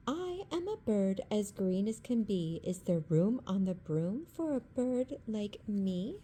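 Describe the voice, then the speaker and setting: A polite little green bird, voiced by a woman reading aloud asks gently and low. The tone stays soft and mellow, with an unhurried pace. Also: sing-song, breathy edge, full character voice. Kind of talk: storybook read-aloud.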